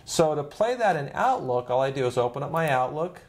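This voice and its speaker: Casual man giving a software walkthrough. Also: a little high, big pitch swings, fairly quick. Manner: casual, conversational, demonstrative. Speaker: software trainer. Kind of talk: informal step-by-step software tutorial narration.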